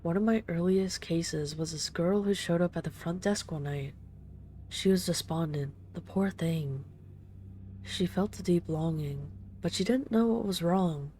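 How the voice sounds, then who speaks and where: A woman acts out an immortal vampire lady in an intimate audio drama. Her voice is low and velvety dark, soft and never rushed. She is tender and wistful, with the gentle condescension of someone used to giving orders. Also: lightly acted. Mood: wistful, reflective. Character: ageless female vampire, boss's daughter, tender, wistful and commanding. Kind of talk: intimate scripted audio roleplay.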